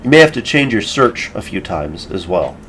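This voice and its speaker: A man hosting a casual software tutorial, explaining each step in an informal way. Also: fairly low pitch, very animated, moderate pace, noisy background. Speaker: tutorial host. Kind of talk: casual screen-recorded software tutorial narration.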